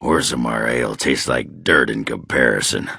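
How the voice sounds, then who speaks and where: An actor playing a crude, boozy male dwarf warrior in a video game, gruff and slurred, with a rough gravelly voice. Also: rumbling low, moderate pace, pushed and bright, no room sound, studio quality, heavily acted. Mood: gruff, wry. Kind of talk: video game character acting.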